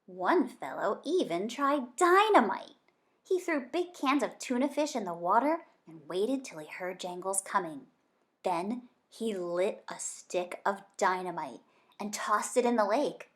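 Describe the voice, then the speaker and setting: A woman reading a children's story aloud in an expressive, dramatic way. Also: medium pitch, a little slow. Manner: dramatic, varying from hushed suspense to emphatic excitement. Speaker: children's book reader.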